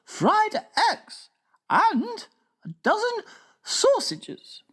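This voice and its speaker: Brief: prim regal queen voiced by a woman, very high, slow, lilting. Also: wildly swooping pitch, a bit bright, full character voice. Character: regal queen, dignified, politely puzzled. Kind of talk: storybook reading.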